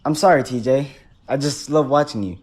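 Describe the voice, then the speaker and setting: A man's voice: mid-range voice, moderate pace, background noise, lightly acted. An actor playing a nervous, deferential boy underling who stammers in awe of his master, in an audio drama. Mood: eager, nervous.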